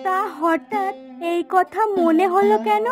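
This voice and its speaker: A woman's voice: extremely high pitch, steady tone, takes it slowly, music in the background. A woman voicing a young, affectionate and outspoken village daughter in a cartoon moral story, coaxing and pert. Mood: concerned, curious.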